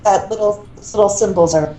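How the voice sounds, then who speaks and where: A woman's voice: medium pitch, fairly quick, a crowd in the background. A woman doing amateur fan fiction narration and casual chat as part of a group reading. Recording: roomy echo, faint background noise.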